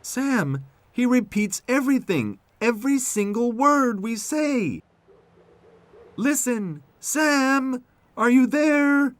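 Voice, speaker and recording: A man voicing an excitable, puzzled young talking tractor in a children's storybook. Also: extremely high pitch, takes it slowly, no room sound, pitched above the performer's own voice, exaggerated voice. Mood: worried, baffled. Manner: exclaiming, bewildered.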